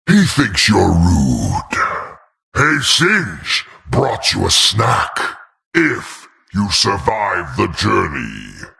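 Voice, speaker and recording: A man's voice: medium pitch, vocal fry, pushed and bright, heavily acted. A man voicing a manic, gleeful, taunting fiery dungeon master in a video game, with theatrical emphasis. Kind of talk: video game character voice lines.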